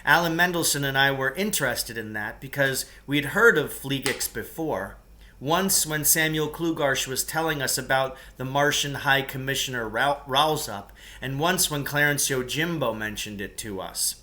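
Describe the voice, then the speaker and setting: A man reading a children's novel aloud as a narrator, with expressive, emphatic delivery. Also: medium pitch, moderate pace. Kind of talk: storybook read-aloud narration.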